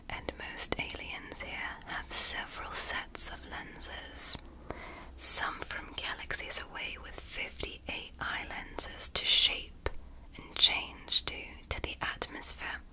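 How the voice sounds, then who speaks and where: A woman playing a gentle, fascinated alien doctor in an ASMR medical roleplay, speaking in a breathy, soothing whisper with encouraging, deliberate delivery. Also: close to an everyday voice, low-quality recording. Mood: softly explaining. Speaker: ASMR performer.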